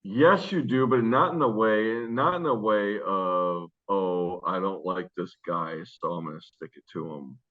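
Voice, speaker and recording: Coach guy, super deep loud voice, lots of uh and like, sounds like a phone call. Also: measured pace, few pauses. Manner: rambling, with many fillers and restarts. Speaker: high school basketball coach. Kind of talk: casual interview answers. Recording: tinny phone-line sound.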